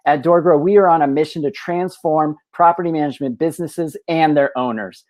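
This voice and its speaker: A man hosting a business podcast, opening with an energetic scripted welcome before interviewing his guest. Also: a little high, fairly quick. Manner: energetic, promotional. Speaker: podcast host. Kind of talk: scripted show intro then interview.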